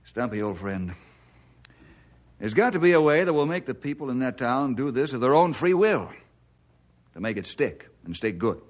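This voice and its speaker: Steady, earnest ranger hero. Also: male voice, medium pitch, moderate pace, pauses often. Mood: earnest, resolute. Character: earnest, steady, level-headed male forest ranger hero. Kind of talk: old-time radio drama acting.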